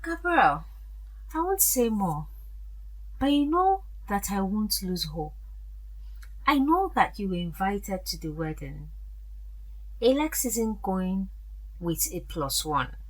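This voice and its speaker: A woman playing a frank, protective older mother in a radio drama, speaking deliberately and expressively. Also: mid-range voice, sing-song, poor audio. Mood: coaxing.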